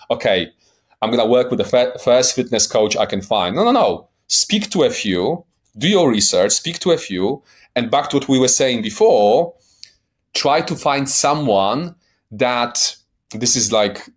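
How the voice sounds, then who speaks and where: A man in his forties with a light Polish accent, a life coach talking as a podcast guest in a blunt, emphatic way, swearing for emphasis and often checking in with "right?". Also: fairly low pitch. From Poland. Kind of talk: podcast interview.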